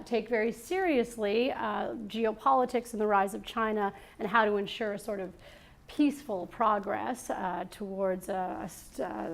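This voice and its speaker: A woman hosting a live salon before an audience, introducing her guest and opening the interview in a chatty, halting way with many fillers and restarts. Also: medium pitch, vocal fry. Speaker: salon host and moderator. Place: event room with an audience.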